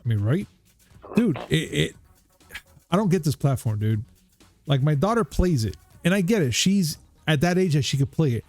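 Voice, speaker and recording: A man in his thirties with a fairly high, upbeat voice hosts a gaming news chat with loose, hyped banter. He is recorded close and dry, with no room sound at all. Faint music sits quietly underneath. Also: very animated, normal speed, no room sound. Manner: hyped, laid-back banter. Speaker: podcast host.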